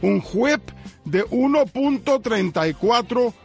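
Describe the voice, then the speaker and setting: A man hosting a sports radio show, measured as he introduces segments and reads out stats. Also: extremely high pitch, animated, soft background music. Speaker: radio host.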